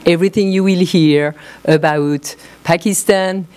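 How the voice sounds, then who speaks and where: A middle-aged woman with a French accent giving a conference talk, pausing often with fillers. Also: on the low side, steady tone, darkish tone. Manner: hesitant, many pauses and fillers. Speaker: panel speaker. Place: conference hall.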